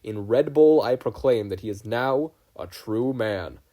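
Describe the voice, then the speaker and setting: A man's voice: medium pitch, expressive, measured pace, mellow, close to an everyday voice. A man voicing a huge, ceremonial minotaur chief in a storybook reading, solemn and declamatory. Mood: solemn, proclaiming.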